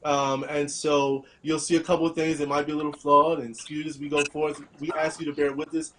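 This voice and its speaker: A man hosting an online panel discussion in a halting way, repeating phrases, from a room at home on a video call. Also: fairly high pitch, flat delivery, a bit bright, poor audio. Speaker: host. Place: small room at home, indoors.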